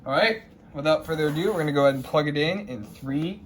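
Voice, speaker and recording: A man's voice: fairly high pitch, fast. A man giving a casual, showy hobbyist unboxing narration, announcing each step like a presenter. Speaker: host of a radio unboxing video. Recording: noisy room recording with ambient hiss.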